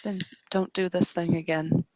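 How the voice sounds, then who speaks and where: A woman playing a tired, troubled young woman in intimate roleplay dialogue, speaking in a hushed, halting way. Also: fairly low pitch, flat delivery, no echo, lightly acted, poor audio. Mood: troubled, resolute.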